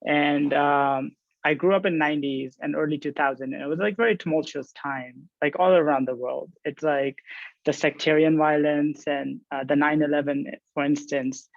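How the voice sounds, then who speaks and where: A man's voice: on the high side, creaky, dry recording, dull audio. A man, answering panel questions thoughtfully with many fillers. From Pakistan. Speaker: panel guest, resident surgeon.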